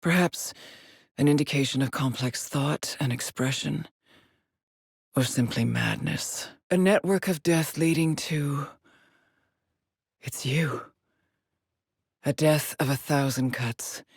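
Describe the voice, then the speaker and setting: Weary haunted lady astronaut for a video game, husky breathy low voice, grave and brooding. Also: no echo, clean studio sound, heavily acted. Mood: haunted whisper. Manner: grave, deliberate, brooding delivery. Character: haunted, weary woman astronaut stranded alone on alien world. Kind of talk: dramatic game voice lines, monologue logs.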